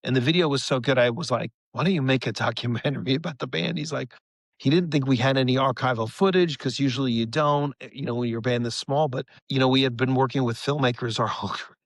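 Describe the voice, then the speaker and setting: A man's voice: medium pitch, quick pace, vocal fry, no echo. A middle-aged man telling stories casually as an interview guest. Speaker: rock band leader as podcast guest. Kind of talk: casual anecdotal interview chat.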